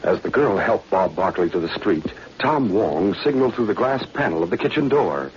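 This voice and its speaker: A man's voice: deep voice, big pitch swings, moderate pace, darkish tone, dull audio. An American man playing the narrator of a 1940s radio spy adventure, reading scripted narration. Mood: measured suspense. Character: brisk male narrator of a spy adventure serial. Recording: noisy, band-limited old radio recording.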